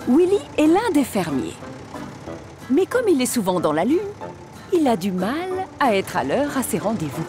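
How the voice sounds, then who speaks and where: A woman's voice: fairly high pitch, wildly swooping pitch, normal speed. A woman reading scripted storybook narration for a children's cartoon. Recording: music behind the voice. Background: music.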